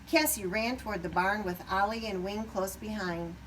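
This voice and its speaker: A woman reading a children's story aloud expressively, pausing at times to put questions to young listeners. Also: mid-range voice, distant mic, a little hiss or hum, low-quality recording. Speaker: storybook reader.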